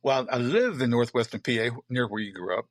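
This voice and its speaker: Older man, mid-pitched, unhurried storyteller; close dry mic. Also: lively intonation, dry recording. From southern West Virginia. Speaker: guest poet and photographer. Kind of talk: casual storytelling interview.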